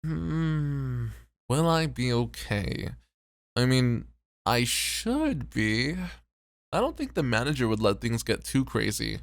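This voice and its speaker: A man playing a tired, popular host and teasing flirt in an ASMR roleplay, with relaxed banter. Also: medium pitch, slow pace, gravelly, dry recording, studio quality, full character voice. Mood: weary, hesitant.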